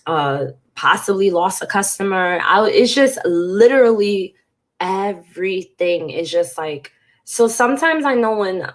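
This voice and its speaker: A woman vlogger with a congested, stuffy voice, talking frankly and emotionally to camera and swearing casually. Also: fairly low pitch. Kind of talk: candid talk to camera.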